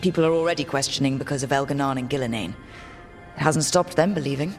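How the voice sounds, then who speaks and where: A woman's voice: extremely low pitch, fast talker, soft background music. A woman voicing a thoughtful, level-headed elven heroine in a fantasy video game, speaking sincerely. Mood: reassuring.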